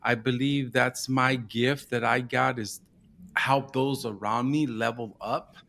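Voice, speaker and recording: A man's voice: medium pitch, steady tone, takes it slowly, creaky, a bit bright. A man from Southern California, a marketing business founder, talking informally as a podcast guest, often adding "right?" and "man". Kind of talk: casual interview chat.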